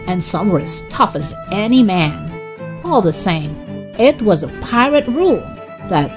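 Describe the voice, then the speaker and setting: A woman narrates a children's story in a dramatic, expressive way. Her pitch moves a great deal and she takes her time, with faint music underneath.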